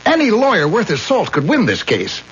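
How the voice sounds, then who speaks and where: An actor playing a shrewd attorney in a radio drama, brisk and reasoning things out aloud. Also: male voice, sits high, wildly swooping pitch, a bit bright, full character voice. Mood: excited, deducing. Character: brisk, shrewd, reluctant male attorney friend. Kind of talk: 1970s radio drama acting. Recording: muffled, hissy old radio broadcast.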